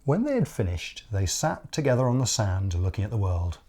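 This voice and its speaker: A man reading a picture book aloud as an expressive children's storyteller, deliberate, with dramatic pauses. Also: lowish voice, breathy edge.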